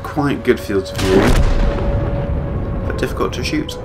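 A man's voice: medium pitch, unhurried, loud music behind the voice. A British man giving casual video game commentary, dry and self-deprecating, rambling along to himself. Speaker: gameplay commentator. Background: video game vehicle and battle sounds.

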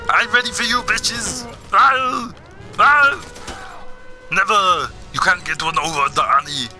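A very bright, piercing male voice, pitched on the high side. He commentates on a video game in a blustering, boastful way, shouting taunts, with some music behind him. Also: expressive, moderate pace. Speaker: gaming video host. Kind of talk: excitable gameplay commentary in character.

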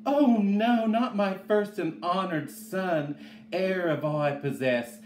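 A man's voice: mid-range voice, expressive, moderate pace, natural voice. A proud, stern mother from a storybook played by a man, formal and dramatic in her speech. The room echoes around the voice, with faint noise behind it. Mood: alarmed.

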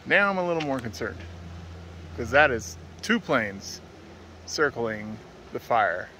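A man in his thirties narrates a camping vlog in a medium-pitched voice. He speaks casually and matter-of-factly, pausing with hesitations. He is recorded outdoors on a handheld phone with faint ambient noise behind him. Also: sing-song, sharp tone. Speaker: travel vlogger. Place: outdoors at a forest campsite. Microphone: handheld phone at arm's length.

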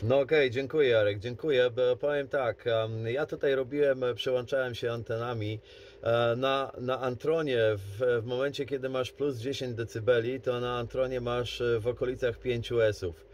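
A man talking casually as an amateur radio operator, explaining things in a halting way. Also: mid-range voice, fairly even intonation, a little mellow, slight background noise. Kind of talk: casual two-way radio chat.

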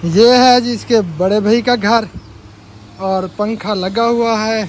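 A man, his voice sitting fairly high for a man. He chats piously and cheerfully to viewers, filming himself at arm's length on a phone in open fields. A faint hiss of outdoor noise runs underneath. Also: fairly even intonation, takes it slowly. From Pakistan. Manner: chatty, pious, showing things to viewers. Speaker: vlogger. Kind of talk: casual village vlog narration. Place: outdoors in rural fields and a village courtyard. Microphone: phone held at arm's length.

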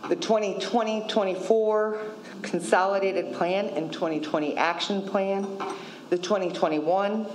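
A woman's voice: mid-range voice, a little mellow, slight background noise, low-quality recording. A woman in her fifties, a city operations coordinator, giving a matter-of-fact report at a podium in a council chamber. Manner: matter-of-fact, listing figures. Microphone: podium microphone.

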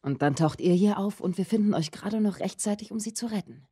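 A woman playing a weary, firm middle-aged resistance leader in a video game, speaking in a measured, serious way with a husky voice. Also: lightly acted. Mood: calm, weary. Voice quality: husky, low, dark voice. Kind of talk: cinematic video game dubbing.

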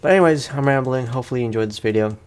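A man's voice: mid-range voice, rapid-fire, a little mellow, clean tone. A man giving casual let's play commentary as a gaming host, in a rambling, slangy, self-deprecating way.

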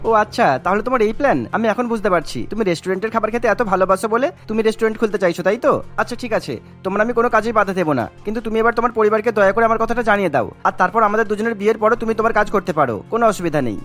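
A woman's voice: mid-range voice, racing, hardly any pauses, faint music behind, close to an everyday voice. A woman voicing an earnest, accommodating young man in a cartoon, with a coaxing manner.

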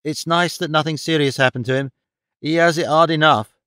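A man puts on a tender-hearted teenage girl for an audiobook. The voice is lifted high and stays clean. She sounds shy yet defiant, earnest and pleading. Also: fairly even intonation, brisk, pushed and bright, clean tone, no echo, studio quality. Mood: worried, relieved. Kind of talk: audiobook character dialogue.

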